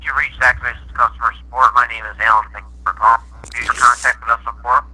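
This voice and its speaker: Man on sharp, raspy phone line. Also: mid-range voice, little pitch movement, fast talker. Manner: polite, procedural. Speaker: customer support agent. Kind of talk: phone customer service talk. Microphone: heard over a phone call. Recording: harsh, tinny telephone line.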